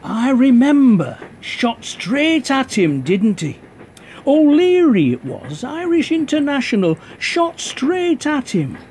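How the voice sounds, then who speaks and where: Man playing a high-voiced, stubborn football fan. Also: huge pitch swings, slow, darkish tone, a little hiss or hum, exaggerated voice. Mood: insistent. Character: stubborn, nostalgic male football supporter. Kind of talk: radio play dialogue.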